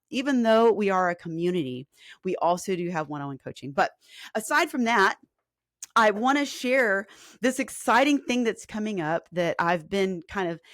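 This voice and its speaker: A woman in her forties, a fitness coach, talking casually on a live stream with a hoarse, scratchy voice and lots of ums. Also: fairly low pitch, dry recording, studio quality. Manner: chatty and earnest, with filler ums and restarts. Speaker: fitness coach and podcast host.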